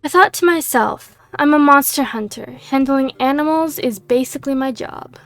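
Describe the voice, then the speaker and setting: A woman's voice: sits high, normal speed, soft background music. A woman reading the lines of a female monster hunter adventurer in her own plain voice, slightly losing her voice. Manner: reads dialogue aloud in her own plain voice.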